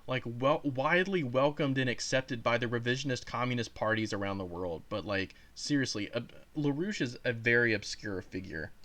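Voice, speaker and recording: A man's voice: medium pitch, normal speed, few pauses, fairly bright tone. A man hosting his own channel, giving heated, sarcastic commentary on a video he is reacting to, swearing freely. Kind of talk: commentary over a played clip.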